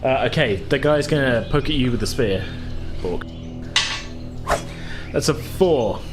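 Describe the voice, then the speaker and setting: A man's voice: medium pitch, lively intonation, a little slow. A man acting as dungeon master, narrating a tabletop game casually with informal, sweary language. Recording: music behind the voice. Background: background music.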